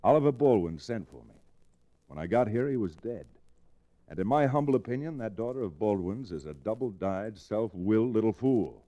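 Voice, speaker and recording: Actor playing a mellow, animated American cowboy lawyer. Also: male voice, fairly low pitch, normal speed, muffled recording, natural voice. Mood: calm, bargaining. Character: confident, educated Western lawyer-rancher hero, shrewd and unflappable. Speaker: radio actor, lead and narrator. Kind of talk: old-time radio Western acting. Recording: restored vintage radio recording.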